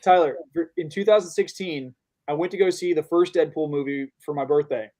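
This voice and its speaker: A man's voice: a little high, a little fast, darkish tone. A man co-hosting a pop culture podcast, telling an anecdote with dry asides. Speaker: podcast co-host. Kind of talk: casual chat.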